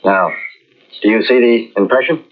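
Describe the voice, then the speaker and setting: A man's voice: fairly low pitch, moderate pace, husky. An actor playing a stern, no-nonsense male police inspector in an old radio mystery, curt and commanding. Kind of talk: 1940s radio acting. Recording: muffled old radio recording.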